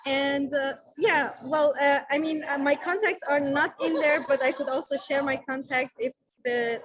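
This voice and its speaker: A woman's voice: high-pitched, steady tone, normal speed, crowd noise. A woman who is a foreign student, telling prospective exchange students about her university over a video call and stopping now and then to search for a word. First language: not German. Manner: friendly, searching for words with fillers. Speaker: international student. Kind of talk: presenting at a virtual fair.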